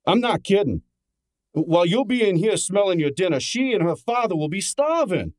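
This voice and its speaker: A man's voice: sits high, animated, normal speed, darkish tone, no room sound, clean studio sound. A man playing a brash, cheeky detective's assistant who also narrates, in an informal, wisecracking old time radio drama style. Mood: indignant.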